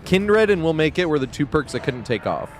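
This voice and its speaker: A man's voice: on the high side, very animated, a little fast, a little hiss or hum. A man live-streaming a game, giving laid-back, casual commentary with some swearing and talking half to himself.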